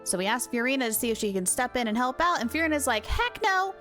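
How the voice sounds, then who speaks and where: Female game streamer, fairly high voice, chatty and bubbly, light game music behind. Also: very animated, fast talker, fairly bright tone. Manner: bubbly, giggly, chatty with viewers. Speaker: live streamer. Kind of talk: casual game stream chat.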